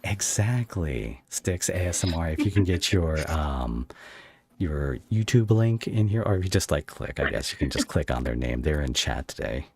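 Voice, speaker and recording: Very deep-voiced man, animated podcast host. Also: moderate pace, breathy edge. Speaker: podcast host interviewing a guest. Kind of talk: casual livestream podcast interview.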